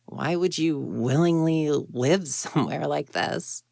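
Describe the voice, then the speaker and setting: A woman's voice: low-pitched, slow pace, creaky, mellow, no echo, studio quality, exaggerated voice. A woman voicing a grumpy, proud young female ghost in an intimate roleplay audio drama, huffy and easily flustered. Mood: indignant, incredulous. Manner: huffy, indignant, flustered stammers, conversational.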